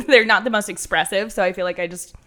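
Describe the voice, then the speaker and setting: A woman bartender with a nasal voice, chatting casually on a podcast and telling animated stories with quoted asides. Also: medium pitch, a little fast. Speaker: bartender and cocktail menu creator.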